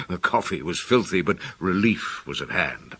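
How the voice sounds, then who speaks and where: An actor playing a detective wryly recounting a case to a friend, narrating within a radio drama. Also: male voice, deep voice, animated, a little slow, fairly bright tone, slightly rough, heavily acted. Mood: wry, reminiscing.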